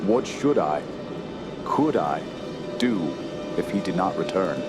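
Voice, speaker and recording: A man acts an earnest gentleman camper in a horror radio play. His lowish voice is solemn and dramatic, shaping literary phrases with fear, over prominent music. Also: sing-song, takes it slowly, full character voice. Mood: brooding dread. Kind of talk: radio drama narration and dialogue.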